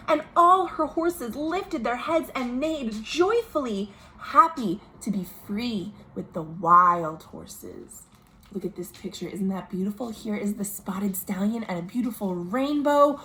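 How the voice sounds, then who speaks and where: A woman reading a picture book aloud to children as a storytime host, expressive, pausing to speak to her young listeners. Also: fairly high pitch. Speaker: children's librarian hosting virtual storytime. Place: at home. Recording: noisy home recording.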